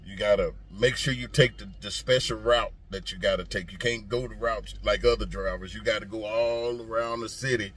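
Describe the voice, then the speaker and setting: A man's voice: on the low side, steady tone, normal speed, few pauses, a bit bright, husky. A man who drives trucks, talking casually to viewers and giving his opinion in a folksy, emphatic, repetitive way, with noisy ambience behind him.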